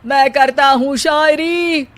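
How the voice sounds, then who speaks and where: A woman voicing a lazy, smug young man who declaims silly rhymes theatrically and with self-pity in a comic animated story. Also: high voice, takes it slowly. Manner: theatrical, rhyming, self-pitying.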